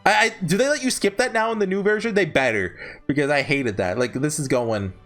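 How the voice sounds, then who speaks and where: A man hosting a casual, unscripted ranking video, talking in a rambling, halting way and often correcting himself. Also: a little high, fairly bright tone, faint music behind. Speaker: video host ranking visual novels. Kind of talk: casual unscripted tier-list commentary.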